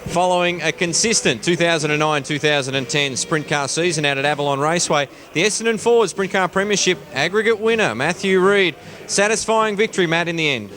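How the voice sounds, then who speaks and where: A man's voice: on the high side, a little fast, fairly bright tone. An Australian man, a sports reporter, putting a question to a driver. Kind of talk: interview question.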